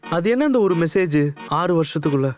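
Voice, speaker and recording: A man acts out a nervous young man in love with a fairly high voice. He rattles along quickly in short-film dialogue, halting, rambling and defending his pride. Faint music plays behind him. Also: lively intonation, a little mellow, natural voice. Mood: anxious, defensive. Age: young adult. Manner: halting, rambling, self-justifying. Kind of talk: conversational Tamil short-film acting.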